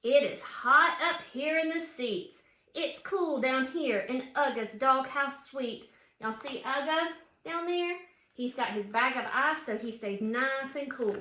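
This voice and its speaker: A woman's voice: high-pitched, slow pace, sharp tone. A woman reads a children's picture book aloud with chatty asides, putting questions and emphatic cheers to young listeners. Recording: roomy, echoing room sound.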